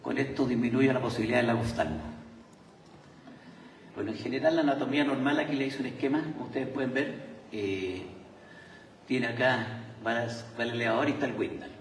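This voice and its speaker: A man's voice: medium pitch, brisk, slight background noise. A man with a Chilean Spanish accent giving a surgical talk at a conference, hesitant, with many fillers and restarts. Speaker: surgeon presenting at a conference. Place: large lecture hall. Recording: echoey hall from far off.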